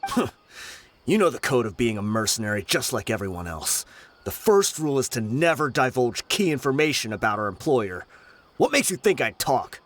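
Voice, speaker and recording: A man playing a stubborn, defiant bandit mercenary in a video game, with a gruff manner. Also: medium pitch, very animated, moderate pace.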